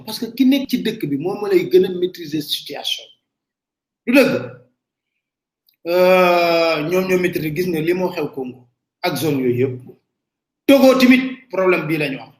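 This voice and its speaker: A man's voice: fairly high pitch, roomy sound. A man with a Senegalese accent giving emphatic, casual live political commentary straight to his viewers. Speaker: online commentator.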